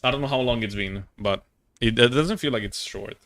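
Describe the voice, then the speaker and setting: A man's voice: mid-range voice, a little fast, fairly bright tone. A man giving casual, slangy live game commentary to his chat in an offhand way. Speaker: game streamer. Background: faint music.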